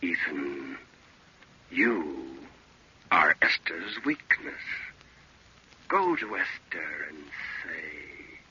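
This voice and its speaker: An actor playing a man's sinister, calculating second soul in an old radio drama, slow, deliberate and insinuating. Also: very deep voice, lively intonation, pushed and bright, husky, full character voice. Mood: coolly scheming. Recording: dull, lo-fi old radio recording.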